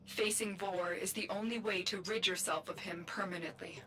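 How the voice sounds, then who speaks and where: A woman playing a calm, solemn mentor in a video game, speaking in a measured, formal, reassuring way. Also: medium pitch, very noisy, natural voice. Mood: resolute, reassuring. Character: calm, solemn female mentor guiding a warrior. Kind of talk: scripted video game dialogue. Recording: loud game music over voice.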